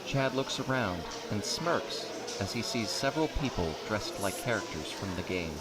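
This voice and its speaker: A man's voice: lowish voice, expressive, normal speed. A man reading scripted narration for an audio drama. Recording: noisy background ambience.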